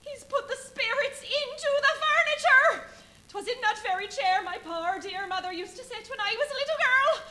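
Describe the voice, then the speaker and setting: Radio play: excitable, indignant country landlady, superstitious, exclamatory, very high and sharp. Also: moderate pace, exaggerated voice. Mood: hysterical, fearful. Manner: rustic, exclamatory. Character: superstitious, indignant village innkeeper woman, prone to hysterics. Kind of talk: live radio play acting.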